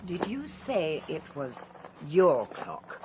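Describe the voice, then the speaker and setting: An actress playing a shrewd, commanding older lady detective with an English accent and a husky voice, in a period radio drama. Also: sits low, sing-song, background noise, full character voice. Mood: brisk, inquisitive. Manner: probing, brisk, authoritative.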